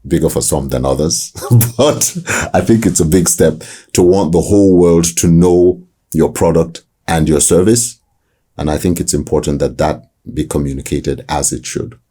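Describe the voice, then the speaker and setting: A man who works as a voice-over artist with a deep voice, answering interview questions in a reflective way, often restarting his sentences. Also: warm tone, slightly rough. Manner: reflective, hesitant restarts, slow emphasis.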